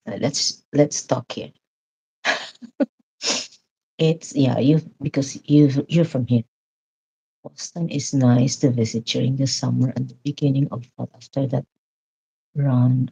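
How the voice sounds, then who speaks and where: Loud, talkative woman vlogger from the Philippines, dark husky voice, live-stream chat. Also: very deep voice, dull audio. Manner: loud, chatty, laughs often. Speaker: vlogger chatting with live viewers.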